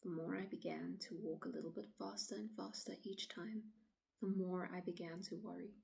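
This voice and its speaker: A woman acting a shaken city woman in a dramatic stage monologue, pleading her account to police in a halting, often hushed voice. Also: fairly low pitch, fairly even intonation. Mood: haunted, fearful. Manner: halting, hushed, often breathy near-whisper.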